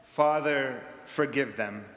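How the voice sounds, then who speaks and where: Jesus, quiet and solemn, echoey church far off. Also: male voice, mid-range voice, takes it slowly. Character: calm condemned teacher, sorrowful. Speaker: liturgy reader. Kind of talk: liturgical reading. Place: large reverberant church.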